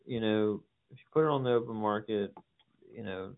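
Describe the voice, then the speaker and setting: A man's voice: fairly low pitch, moderate pace. A man, a property buyer or agent, talking bluntly and persuasively about figures on a phone call. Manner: blunt, persuasive, matter-of-fact about numbers. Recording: tinny phone line with faint noise.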